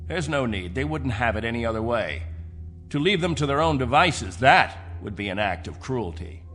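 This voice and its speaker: Man as a middle-aged colony patriarch, medium pitch, formal, commanding, possessive, radio play, light music underneath. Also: lively intonation, normal speed, fairly bright tone, natural voice. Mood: firm, possessive. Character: proud paternalistic middle-aged male leader of stranded space colonists. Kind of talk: dramatic radio drama acting.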